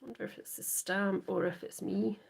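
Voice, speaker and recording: A Scottish woman hosting a live crafting demo, chatting softly to viewers and often trailing into whispers as she works. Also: mid-range voice, steady tone, moderate pace, vocal fry, breathy edge. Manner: soft, often trailing into whispers while working. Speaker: craft livestream host.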